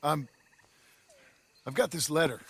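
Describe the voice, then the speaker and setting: An actor playing a rough, laconic outlaw of the old West in a video game, with an American accent and a drawling, sardonic manner. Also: male voice, a little high, measured pace, background noise. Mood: polite, awkward. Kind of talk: video game cutscene acting.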